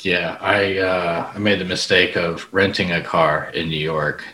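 A man from Orlando with a low but bright voice on a video call. He laughs a lot and grumbles in big, exaggerated terms. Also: level tone, unhurried, poor audio. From Orlando, Florida. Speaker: podcast co-host. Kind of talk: casual interview chat.

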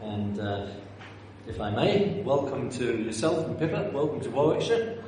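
Londoner preaching in an echoey church. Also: male voice, mid-range voice, sing-song, measured pace, darkish tone, slight background noise. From North London, England. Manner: conversational and self-deprecating, with rhetorical questions. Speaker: visiting preacher. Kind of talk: sermon. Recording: echoey church hall with long reverb.

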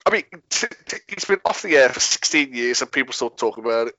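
A man's voice: medium pitch, lively intonation, quick pace, bright, forceful tone, highs cut off, slight background noise. A man from Birmingham in casual chat on a video call, telling rambling anecdotes and laughing easily. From Birmingham, England.

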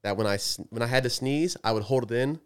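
A young man rattles along at a quick clip on a casual podcast, spinning rambling questions and teasing his co-host. His voice is smooth and clear, and he breaks into laughter often. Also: medium pitch. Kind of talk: casual banter.

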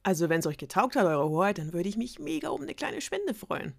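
A woman's voice: medium pitch, sing-song, brisk, gravelly, voice pushed up. A woman voicing a laid-back young minstrel who talks in street slang. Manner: slangy, easygoing.

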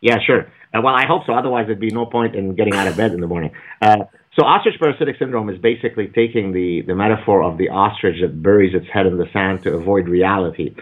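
A man's voice: fairly low pitch, fast. A man speaking as an author and guest in an interview, emphatic and argumentative, lecturing at length. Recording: phone-like narrow audio.

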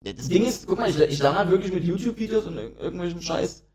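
A man's voice: high voice, animated, quick pace, crowd noise. A young man co-hosting a casual podcast chat, swearing often and laughing a lot. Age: young adult. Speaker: podcast co-host.

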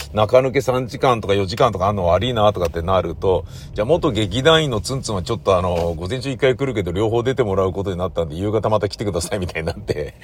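A man talking casually and at length as a playwright recounting his work, in a discursive, emphatic, colloquial way. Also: fairly low pitch. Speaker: playwright and troupe leader.

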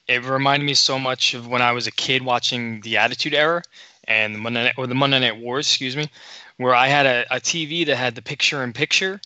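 A man co-hosting a casual podcast, with a very bright and sharp voice. He talks haltingly, repeating words as he goes. The sound is dry and close, with a dull, lo-fi tone. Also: mid-range voice, steady tone, dry recording. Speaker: podcast co-host.

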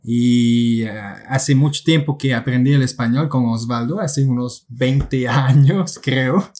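A man's voice: medium pitch, takes it slowly, darkish tone. A man from Quebec in his late thirties, a native French speaker with a Quebec French accent, chatting as a guest and often repeating words as he searches for them. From Quebec, Canada. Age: late 30s. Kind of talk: casual interview chat.

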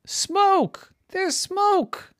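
A woman voicing an earnest, plucky young male duck hero in a children's story, excitable and insistent. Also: very deep voice, slow pace, dry recording, clean studio sound, heavily acted. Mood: alarmed.

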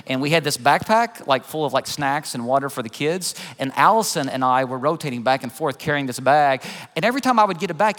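A man's voice: fairly high pitch, a bit bright. A middle-aged man preaching a sermon in a conversational, earnest way, telling personal stories and putting questions to his listeners. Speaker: pastor preaching. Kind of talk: sermon with personal storytelling and illustration. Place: large church room, preaching to a congregation. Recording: long hall reverb.

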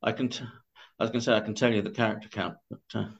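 A man's voice: lowish voice, little pitch movement, creaky, husky. An older man speaking as an interview guest about a book, conversational and tentative, often correcting himself. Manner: tentative, self-correcting, halting. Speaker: podcast guest. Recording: dull, band-limited audio.

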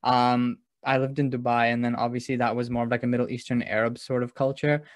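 A man's voice: mid-range voice, little pitch movement, brisk, dry recording, clean studio sound. Rambling young man on a podcast. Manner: rambling, heavy use of 'like'. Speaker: podcast co-host. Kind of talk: casual podcast chat.

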